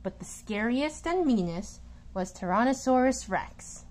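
A woman reading a children's picture book aloud in an expressive, deliberate storytelling manner, stressing key words. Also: on the high side, slight background noise.

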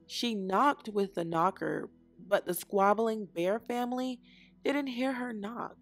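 A woman narrating a children's storybook aloud with deliberate, expressive phrasing. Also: medium pitch, faint music behind. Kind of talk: read-aloud scripted narration.

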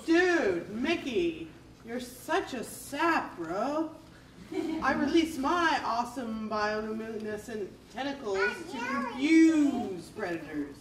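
A woman's voice: a little high, sing-song, slow pace. Laid-back dude jellyfish puppet, female actor, drawling, far from the mic in a noisy, echoey room. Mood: mellow, spaced-out. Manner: drawling, spaced-out. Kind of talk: live puppet show. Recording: roomy, distant, noisy theatre recording.

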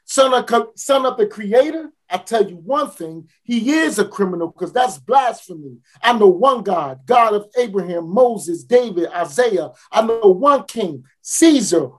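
A boastful, mocking street fruit seller tells the tale in plain folksy speech, played by a woman. The delivery is theatrical: lines pile up in repetition and then break out into sudden shouts. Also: medium pitch, animated, a little slow, hardly any pauses, husky. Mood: scornful. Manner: folksy, dramatic, with repeated builds and sudden shouts. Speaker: dramatic monologue performer. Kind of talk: theatrical spoken-word monologue.

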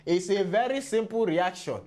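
Man playing a high-voiced mock professor, Nigerian. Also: lively intonation, moderate pace, a little mellow. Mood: mock-formal. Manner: mock-formal lecturing. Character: mock chemistry professor, male, dry, scornful of gullible fools.

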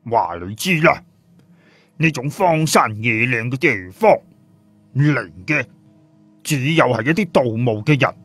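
A man's voice: medium pitch, animated, measured pace, pauses often, fairly bright tone, soft background music. A man voicing a brash, scornful, streetwise fellow with a blunt, slangy, mocking manner in an audio story. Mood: scornful explaining.

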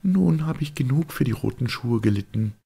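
A man's voice: mid-range voice, animated, normal speed, creaky, warm tone, husky, pitched above the performer's own voice. A man voicing a poor, pious young girl in a fairy tale, pleading earnestly. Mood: weary, defiant. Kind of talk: fairy-tale audiobook character voice.

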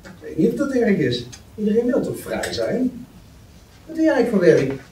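A man's voice: sits high, huge pitch swings, normal speed, dark tone. A man playing a reserved, sensible young banker in a matter-of-fact manner at a script table read. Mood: curious. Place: meeting room. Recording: roomy, distant mic, faint noise.

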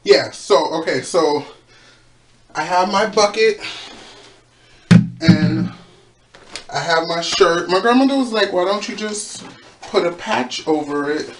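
A man's voice: high-pitched, expressive, slow pace, long pauses. A young man casually talking through a DIY project in his home bathroom, chatty with playful asides. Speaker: DIY vlogger. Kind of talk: casual how-to vlog. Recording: echoey small room, faint background noise.

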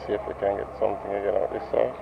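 A man from Jamaica casually narrating his fishing trip from a rocky shore, talking to the viewers in a relaxed, muttered way. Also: fairly low pitch, rapid-fire, creaky. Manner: relaxed running commentary, often muttered. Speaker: fishing vlogger. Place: rocky shore by a bridge, outdoors. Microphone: head-mounted action camera. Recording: lo-fi action-camera audio with outdoor noise.

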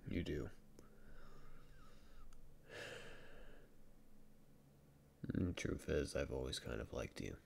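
A man playing a shy young best friend in an ASMR boyfriend roleplay, speaking softly and haltingly with stammers, in a very deep, gravelly voice. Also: animated, a little hiss or hum, exaggerated voice. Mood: nervous, apologetic. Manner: hesitant, halting, stammering, soft-spoken.

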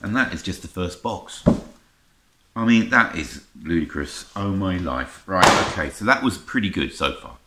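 A man's voice: low-pitched, animated, normal speed. A middle-aged man chatting casually and unscripted to camera as a vlogger, with muttered asides and exclamations as he browses. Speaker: vlogger showing a music haul. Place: indoor room lined with record shelves. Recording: roomy echo, faint noise, clicking handling sounds.

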